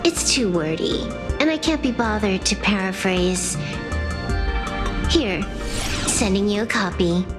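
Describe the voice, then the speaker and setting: Woman acting unhurried, sly young hacker girl. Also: medium pitch, expressive, close to an everyday voice. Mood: dry, smug. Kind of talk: scripted video game voice acting. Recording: loud music under the voice. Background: game music.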